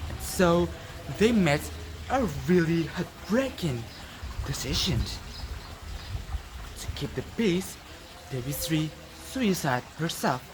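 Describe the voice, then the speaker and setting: A teenage student from Indonesia with an Indonesian accent tells a folktale dramatically, with deliberate, emphatic pauses. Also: medium pitch, animated, noisy background. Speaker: student storyteller. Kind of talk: dramatic oral storytelling for a competition.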